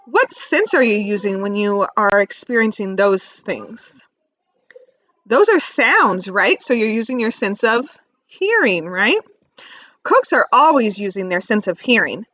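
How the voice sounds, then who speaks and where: A woman teacher reading aloud to young children, expressively stressing key words and pausing to ask simple questions. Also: medium pitch, sing-song, a bit bright, dry recording. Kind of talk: read-aloud lesson with questions to young listeners.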